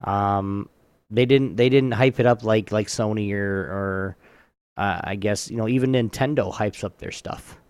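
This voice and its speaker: A man hosting a trivia game in a casual chat, often halting and restarting his phrases. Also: lowish voice, a little mellow, smooth, no echo, clean studio sound. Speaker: podcast host running a trivia game.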